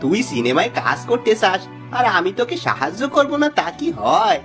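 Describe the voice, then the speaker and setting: Man acting middle-aged village trickster, cartoon fable, smug, flattering, exaggerated, very animated intonation, faint music. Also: high-pitched, moderate pace, creaky, exaggerated voice. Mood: flattering, coaxing.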